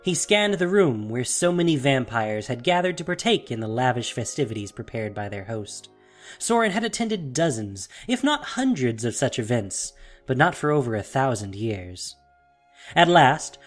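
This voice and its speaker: A narrator tells a fantasy story in a dramatic, scripted style. The pitch moves widely and expressively, while quiet music plays behind the voice. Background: soft music.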